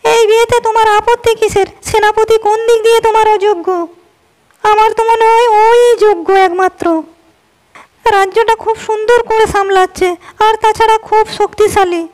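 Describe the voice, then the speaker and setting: A woman's voice: extremely high pitch, flat delivery, fairly quick, a little mellow. A woman voicing a pragmatic middle-aged queen mother in a cartoon, speaking in a persuasive, matter-of-fact way.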